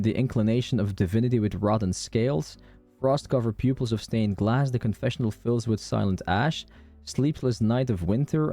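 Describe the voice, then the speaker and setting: A man's voice: medium pitch, mellow. A man streaming a game playthrough, commenting in an offhand, wry way.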